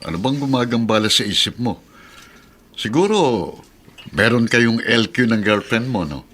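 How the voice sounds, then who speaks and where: A man's voice: medium pitch, lively intonation, a little slow, a bit bright, a little hiss or hum. A man playing a wry, knowing middle-aged uncle in a radio drama. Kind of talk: Tagalog radio drama acting.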